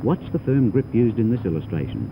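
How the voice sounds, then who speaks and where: A man's voice: lowish voice, sing-song, a little fast, narrow, tinny audio. A man giving formal, instructive scripted narration for a 1930s training film, on a noisy old soundtrack. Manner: formal, didactic.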